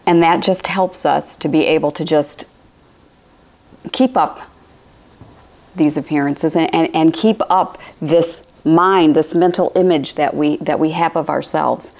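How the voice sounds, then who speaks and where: Low, raspy-voiced woman. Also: fairly even intonation, unhurried, darkish tone. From Illinois, USA. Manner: rambling, repetitive phrasing with frequent restarts. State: raspy, cracking voice. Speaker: spiritual teacher hosting a live stream. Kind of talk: live-streamed spiritual talk. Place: home room with a fireplace, indoors.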